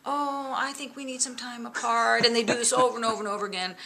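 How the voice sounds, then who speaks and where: Chatty woman bassist with a gravelly edge. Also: fast. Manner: lively, chatty, laughs often, many fillers. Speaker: bass player and songwriter. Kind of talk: casual interview chat. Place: living room at home. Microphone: camera in front, close framing.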